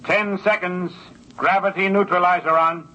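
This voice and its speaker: An actor playing a brisk, official space traffic controller in a 1950s radio drama. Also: male voice, sits high, steady tone, sharp tone, dull audio. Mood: clipped, official. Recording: noisy vintage radio recording.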